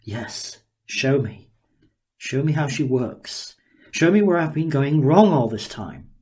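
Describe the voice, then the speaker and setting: Man acting an excitable, sneering inventor. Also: mid-range voice, takes it slowly. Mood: taunting, eager. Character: excitable, sneering, slightly unhinged male inventor. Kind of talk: audiobook character dialogue.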